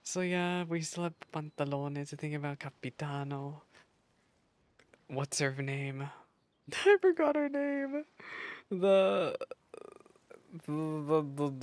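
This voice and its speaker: A woman's voice: deep voice, animated, measured pace, pauses often, no echo. A woman streamer giving casual, chatty, playful commentary with exclamations during a game playthrough.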